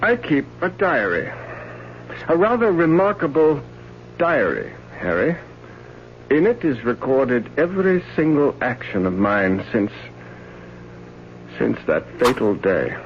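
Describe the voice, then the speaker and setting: An actor playing a young, cold, arrogant gentleman devoted to pleasure, in a theatrical old radio drama style. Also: medium pitch, sing-song, slow pace, pauses often. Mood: darkly secretive. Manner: haughty, callous, theatrical. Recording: dull, noisy old radio recording with hum.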